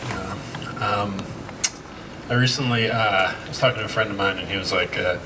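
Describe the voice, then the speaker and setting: A man in his thirties with an American accent, doing a stand-up set with a halting, deadpan, self-deprecating delivery full of fillers. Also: lowish voice, background noise. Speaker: stand-up comedian. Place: outdoor patio stage at night. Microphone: handheld mic. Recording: distant camera mic with loud crowd laughter. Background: audience laughter.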